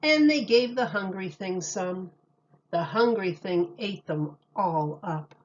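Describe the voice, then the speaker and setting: A woman reading a children's storybook aloud like a teacher, in an expressive, deliberate storytelling manner. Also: mid-range voice, lo-fi sound, a little hiss or hum.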